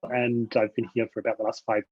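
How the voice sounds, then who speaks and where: A man's voice: mid-range voice, flat delivery, fairly quick, over music. An Australian man giving an easygoing webinar presentation over a video call, with a light self-deprecating manner. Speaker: invited speaker. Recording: dry, dull lo-fi video call.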